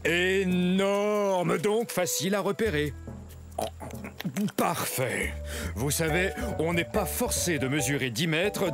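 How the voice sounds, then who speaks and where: An actor playing a sly male meat-eating hunter dinosaur in a children's educational cartoon, teasing and explaining in a confiding, teacherly way. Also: fairly high pitch. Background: music.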